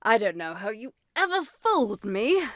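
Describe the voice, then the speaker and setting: A woman's voice: on the high side, sing-song, normal speed, fairly bright tone, no echo, clean studio sound. A woman playing a prim, huffy older lady who dotes on her cat, in an audio drama. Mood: offended. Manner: prim, affronted. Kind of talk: audio drama character acting.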